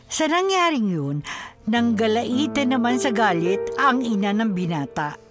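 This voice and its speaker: A woman's voice: fairly high pitch, very animated, measured pace, loud music behind the voice. A woman with a Filipino accent giving scripted narration for a radio drama.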